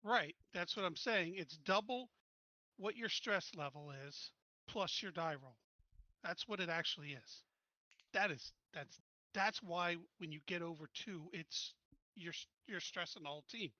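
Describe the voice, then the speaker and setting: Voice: mid-range voice, measured pace, sharp tone, no room sound, muffled recording. A player at a tabletop game, arguing in a frustrated way about how the rules work. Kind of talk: casual chat at a game table.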